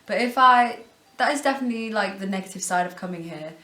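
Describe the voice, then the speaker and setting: A woman's voice: medium pitch, fast, sharp tone. An 18-year-old woman from Thailand, a student in a documentary interview, speaking hesitantly with restarts and frequent "like". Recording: very echoey room, music behind.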